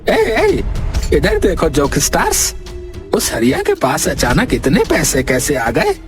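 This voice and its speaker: A man voicing a nosy, sneaky village henchman in a cartoon story, comic, mocking and excitable. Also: a little high, slow, over music, heavily acted. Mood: nosy, scheming. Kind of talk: animated moral story acting.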